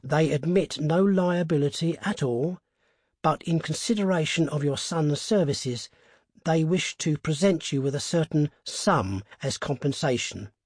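A man voicing a formal company messenger who delivers grim news in a measured, official manner in a storybook reading. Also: a little high, darkish tone, breathy edge, no echo, studio quality, pitched above the performer's own voice. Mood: formal, uneasy.

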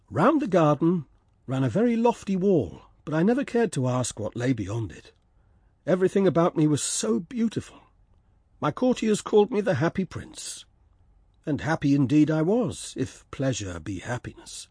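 A sorrowful statue of a dead prince recalls his past in a wistful, stately voice, as a man reads him. The tone is mellow and a little breathy. His pitch swings widely. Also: dry recording, studio quality.

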